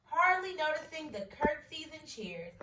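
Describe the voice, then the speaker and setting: A woman's voice: sits high, far from the mic, background noise. A woman teacher reading a picture book aloud to her class, stopping to ask them questions. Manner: expressive storybook reading with pauses for questions. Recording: echoey room, voice from off-mic.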